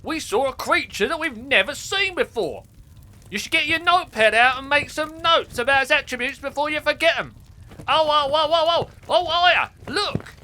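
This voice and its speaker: A man voicing an excitable, cheerful male crow companion in an audio drama. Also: extremely high pitch, heavily acted. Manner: excitable, exclaiming, delighted. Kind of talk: audio drama character acting.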